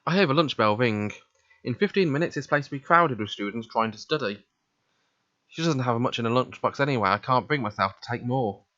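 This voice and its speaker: A man's voice: medium pitch, expressive, brisk, fairly bright tone, dry recording, highs cut off. A man reading a visual novel aloud, narrating in the first person and voicing its dialogue.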